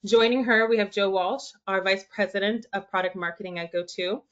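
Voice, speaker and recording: A woman hosts a webinar and introduces the speakers with upbeat enthusiasm. Her voice sits in a middle pitch. The audio is muffled and lo-fi, like a video call. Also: steady tone, a little fast. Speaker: webinar host. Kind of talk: scripted introductions.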